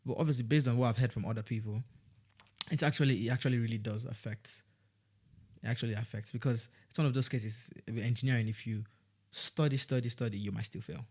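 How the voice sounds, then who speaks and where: A man's voice: medium pitch, fairly even intonation, fast talker, a little mellow. Young Nigerian man chatting in a rambling way. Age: young adult. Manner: rambling, many restarts and fillers. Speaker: student guest. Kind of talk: casual podcast chat. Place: podcast studio.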